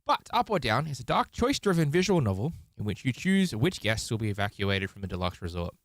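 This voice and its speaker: A man's voice: mid-range voice, very animated, fairly quick, no echo. A man hosting a casual game live stream, with a theatrical, showman-like way of talking.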